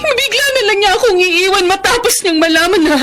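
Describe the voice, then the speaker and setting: A woman playing a bitter, vengeful jilted lover in an emotional radio melodrama, with halting, repeated phrases. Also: very high voice, expressive. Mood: tearful anguish. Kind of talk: Filipino radio melodrama acting. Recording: music behind the dialogue.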